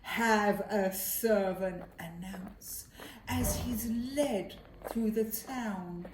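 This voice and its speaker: A woman voicing a self-important royal advisor in a children's puppet show, measured and grand, in an echoey room. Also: mid-range voice, background noise. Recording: roomy echo.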